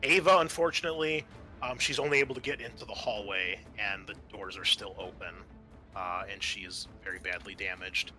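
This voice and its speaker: A man with a bright, forceful voice runs a tabletop roleplaying game. He narrates scenes in a halting, improvised way at a middling pitch. Faint music plays behind him. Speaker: game master.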